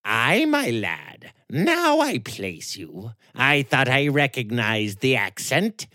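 A man voicing a shrewd old woman who reads fortunes, speaking slowly and knowingly. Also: mid-range voice, wildly swooping pitch, bright, forceful tone, no room sound, studio quality, voice pushed up, exaggerated voice. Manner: slow, knowing, drawn-out speech.

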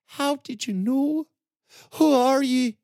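A woman's voice: sits high, slow, darkish tone, no room sound, studio quality, pitched above the performer's own voice. A man voicing a frightened young woman held captive, pleading and choked with sobs. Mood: distraught.

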